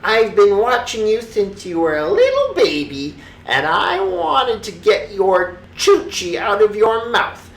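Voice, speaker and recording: A storyteller voicing a gleeful, sneaky witch for a children's bedtime story, with a shrill voice and drawn-out cackles. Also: huge pitch swings, echoey room, slight background noise, full character voice. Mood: gleeful scheming.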